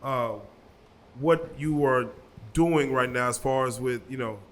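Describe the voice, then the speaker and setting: A man's voice: medium pitch, measured pace. A man hosting a casual interview, asking rambling questions full of fillers and slang. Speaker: interview host.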